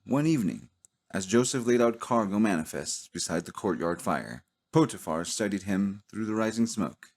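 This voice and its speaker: A man narrating a cinematic audio drama in a solemn, dramatic manner with deliberate pauses. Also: fairly low pitch, lively intonation, darkish tone. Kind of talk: slow cinematic scripted narration.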